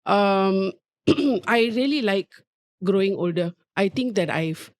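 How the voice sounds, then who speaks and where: A woman's voice: medium pitch, expressive, slow pace, darkish tone, dry recording, clean studio sound. A woman in her forties speaking as a guest, reflective and frank, with lots of "like". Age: 40s. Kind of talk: candid conversation.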